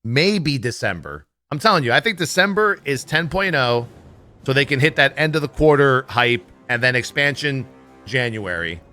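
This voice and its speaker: Bright, forceful man commenting on a livestream. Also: medium pitch, big pitch swings, normal speed, no echo, faint music behind. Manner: blunt, swears freely. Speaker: livestream host. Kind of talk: casual livestream commentary on gaming news.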